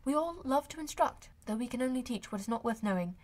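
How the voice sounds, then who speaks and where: A woman voicing a spirited, witty young woman in an audiobook of a classic novel, with pointed, expressive delivery. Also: on the high side, fast, natural voice. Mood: teasing.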